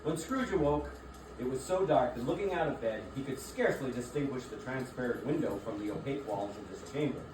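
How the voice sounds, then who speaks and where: Male narrator for a staged literary reading, medium pitch, recorded from afar with clear background noise. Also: lively intonation, a little fast. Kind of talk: scripted theatrical storytelling narration. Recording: distant echoey room, clearly noisy.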